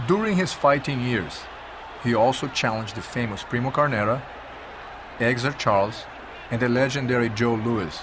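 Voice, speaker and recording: Male documentary narrator, mid-pitched voice, measured and emphatic scripted history telling, clearly noisy old video audio.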